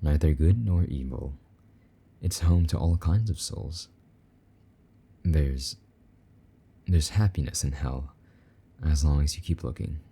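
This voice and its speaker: A man playing a possessive but tender, lonely demon lover in an intimate romantic audio roleplay, speaking softly and coaxingly in a husky voice. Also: exaggerated voice. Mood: soft, reassuring. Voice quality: very deep, dark, husky. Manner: soft, slow-burning, gently coaxing endearments.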